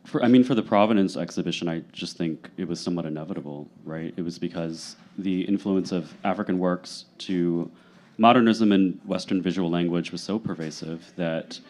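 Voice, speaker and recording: Very deep-voiced male panelist. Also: moderate pace, a little mellow. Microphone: handheld mic.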